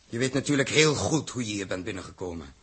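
A man playing a stern, exasperated spaceship captain in a 1960s Dutch radio drama, lecturing with forceful sarcasm. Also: on the low side, expressive, fast talker. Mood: sarcastic, accusing.

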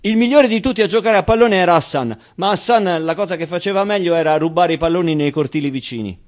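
Theatre monologue: male actor as wry, tender orphan boy, higher-pitched voice, confiding and conversational. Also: fast talker, lightly acted. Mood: animated, confiding. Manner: conversational, hesitant interjections, emphatic repetitions. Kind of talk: theatrical monologue, intimate storytelling.

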